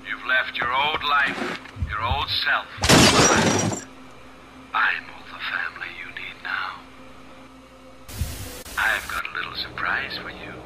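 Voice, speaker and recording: A man's voice: medium pitch, lightly acted, rough recording. An actor playing a sadistic, mocking director who taunts his captive and gives orders in a video game. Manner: sardonic, taunting, theatrical. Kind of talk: dramatic video game voice acting.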